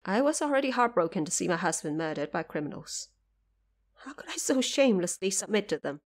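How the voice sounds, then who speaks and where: A woman's voice: mid-range voice, animated, a little fast, close to an everyday voice. A woman playing a grieving, ashamed noblewoman in an audio drama, speaking formally and sorrowfully. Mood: anguished shame. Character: grieving, ashamed noblewoman, devoted mother and daughter.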